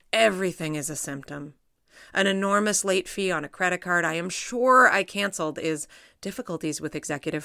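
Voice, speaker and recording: A middle-aged woman, a psychologist, reading her own personal essay aloud in a measured, reflective, wry way. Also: lowish voice, gravelly, sharp tone, no room sound, clean studio sound.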